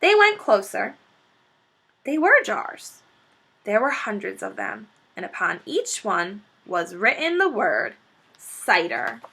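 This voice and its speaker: A woman reading a children's book aloud as an expressive storyteller. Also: mid-range voice, slow, vocal fry, breathy edge. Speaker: story reader.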